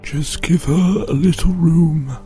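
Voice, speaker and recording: A man playing a gentle, pained elderly headmaster in an audio drama, speaking deliberately. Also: fairly high pitch, steady tone, faint music behind.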